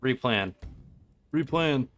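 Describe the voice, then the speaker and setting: A man's voice: mid-range voice, lively intonation, slow, fairly bright tone, faint music behind. A man giving casual live gaming commentary in a laid-back, joking, sweary way. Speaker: game streamer.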